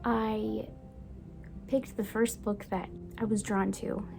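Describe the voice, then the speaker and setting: A young woman from Brazil whose first language is Portuguese, chatting gently in a casual book review vlog and sometimes dropping to a soft whisper. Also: medium pitch, flat delivery, slow, vocal fry. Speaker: book vlogger. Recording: music playing behind the voice.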